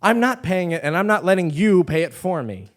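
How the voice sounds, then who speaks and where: A man's voice: high voice, big pitch swings, fairly quick. A man playing a stubborn, defiant commoner in a comic stage play, emphatic and sarcastic. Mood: exasperated.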